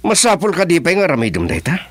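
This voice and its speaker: An actor with a Filipino accent playing a commanding, confrontational crime boss in a radio drama. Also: male voice, fairly high pitch, huge pitch swings, fast talker, fairly bright tone, exaggerated voice. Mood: irritated. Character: commanding male crime boss in shady business.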